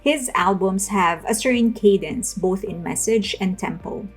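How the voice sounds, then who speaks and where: Gravelly-voiced young woman hosting a video essay. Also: medium pitch, a little slow, soft background music. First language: not Korean. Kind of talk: casual intro then scripted voice-over commentary. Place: home room with plush toys.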